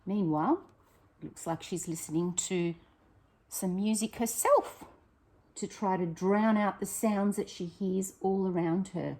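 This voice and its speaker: A woman, a primary teacher reading a picture book aloud to young children, expressive and encouraging, with chatty questions to her listeners. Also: mid-range voice, unhurried.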